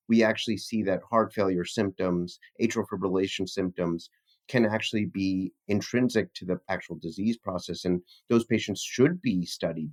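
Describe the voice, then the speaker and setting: A man with a fairly low voice speaks as a physician researcher being interviewed. He is articulate and formal, using technical language in a discussion style.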